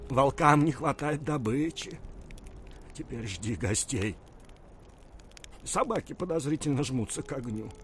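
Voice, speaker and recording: An actor playing an anxious, uneasy frontier hunter in an audio drama, worried and sometimes halting in his speech. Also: a little high, a little hiss or hum. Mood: wary, gloomy. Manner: worried, halting and stammering when agitated. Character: Bill, anxious, uneasy male frontier hunter and sled driver. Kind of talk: Russian audio drama acting.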